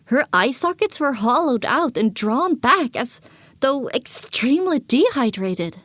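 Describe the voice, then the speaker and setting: A woman's voice: high voice, very animated, measured pace, fairly bright tone, dry recording. A woman narrating an audio drama in the first person, with a dramatic scripted delivery.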